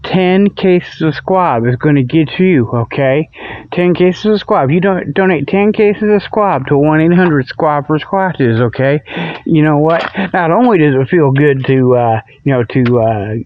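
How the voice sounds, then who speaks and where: Male radio host, higher-than-average voice, folksy meandering solo talk, muffled low-grade tablet audio. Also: a little slow. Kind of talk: rambling comic solo monologue. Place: outdoors on a walk before dawn. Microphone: budget tablet held in hand. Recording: dull lo-fi tablet recording.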